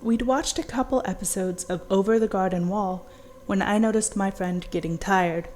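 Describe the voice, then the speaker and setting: A woman's voice: mid-range voice, darkish tone. A woman reading a scripted first-person horror story as narrator. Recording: audible background noise, amateur recording.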